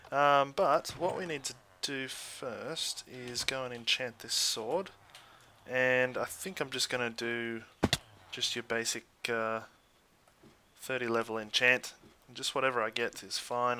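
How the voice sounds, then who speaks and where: An Australian man giving casual, chatty let's play commentary in an easygoing way, thinking out loud as he goes. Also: medium pitch, fairly even intonation, slow pace, a little hiss or hum. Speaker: gaming YouTuber.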